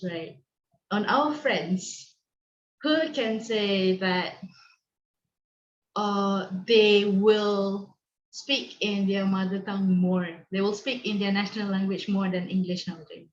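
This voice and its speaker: A woman from Malaysia hosts an online teacher discussion, thinking aloud with lots of fillers and pauses. Her voice comes over a dull, muffled video call line in an echoey room. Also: medium pitch. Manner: reflective, hesitant with many fillers. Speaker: host.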